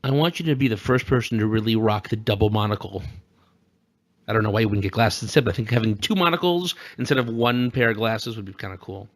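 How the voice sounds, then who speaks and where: A man's voice: fairly low pitch, lively intonation, fast talker. A man co-hosting a podcast with casual, joking political commentary full of teasing asides. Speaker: podcast co-host.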